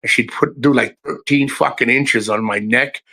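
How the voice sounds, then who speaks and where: A middle-aged man hosting a casual livestream from his house, rambling and swearing, his voice low from a cold. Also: normal speed, pushed and bright, husky. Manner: rambling, profane, restarts often, addresses chat by name. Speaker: livestream host. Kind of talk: casual live-stream chat to viewers.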